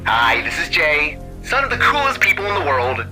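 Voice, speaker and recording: A man's voice: on the high side, sing-song, moderate pace, over music, voice pushed up, low-quality recording. A man voicing a cheerful, proud young boy in an audio story. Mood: upbeat, playful. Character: cheerful young boy's proud voicemail greeting. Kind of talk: scripted character voice in audio story.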